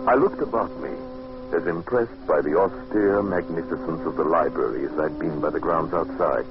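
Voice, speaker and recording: A man's voice: deep voice, normal speed, lightly acted. An actor playing an earnest English businessman who narrates an eerie tale in an articulate, theatrical old radio drama style. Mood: awed, uneasy. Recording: dull noisy old radio recording.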